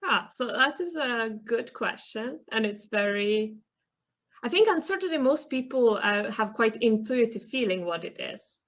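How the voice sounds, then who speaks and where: A woman's voice: fairly high pitch, lively intonation, a little slow. A young woman from Lithuania, a PhD student, explaining her research earnestly as an interview guest. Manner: earnest, explanatory, some hesitations.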